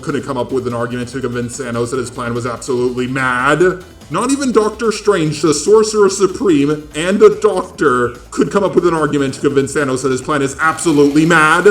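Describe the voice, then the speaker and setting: A man delivering scripted video commentary in an emphatic, sarcastic and incredulous manner. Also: fairly high pitch. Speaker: video essayist host. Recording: roomy echo, music behind. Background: background music.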